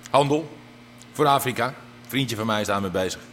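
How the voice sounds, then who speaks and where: An actor playing a practical, questioning underworld sidekick in a naturalistic radio drama, talking casually and matter-of-factly. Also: male voice, mid-range voice, brisk, fairly bright tone, in a large hall, slight background noise, natural voice. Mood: practical, wary.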